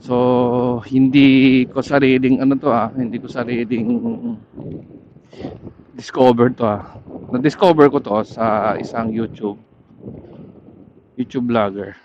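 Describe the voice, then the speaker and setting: A Filipino man talks at a slow, halting pace, like a casual ride vlog. His voice comes through a dull, muffled helmet intercom mic. Faint vehicle noise runs behind him outdoors. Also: mid-range voice, steady tone. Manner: halting, pausing to search for words. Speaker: motorcycle ride vlogger. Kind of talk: casual vlog talk while riding. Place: outdoors, riding a motorcycle. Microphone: helmet intercom headset.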